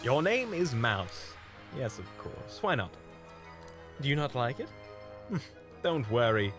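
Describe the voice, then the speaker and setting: A man's voice: mid-range voice, sing-song, slow, over music. A man acting a charming, unflappable young hunter in a fantasy audio drama, courteous, playful and musing.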